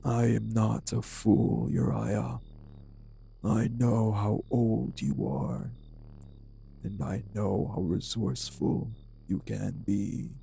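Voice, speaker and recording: Man playing a slow, gravelly, haughty vampire sire. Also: on the low side, dark tone, a little hiss or hum, exaggerated voice. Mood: cold, controlled. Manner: formal, deliberate, menacing, haughty diction. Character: refined, bitter, possessive old vampire sire, jilted husband. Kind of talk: dramatic audio roleplay acting.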